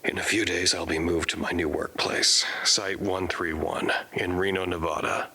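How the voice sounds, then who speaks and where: A low, bright-edged and slightly husky voice belongs to a weary man in confinement, played by a male actor. He narrates in a formal, measured way, choosing words precisely, as in an audio drama diary. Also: flat delivery, exaggerated voice. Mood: resigned, measured. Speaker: narrator character.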